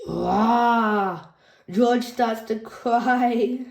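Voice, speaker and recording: A woman reading a children's picture book aloud in a slow, gentle storytelling manner. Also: on the high side, vocal fry. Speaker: storybook reader. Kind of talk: children's read-aloud narration. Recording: echoey room.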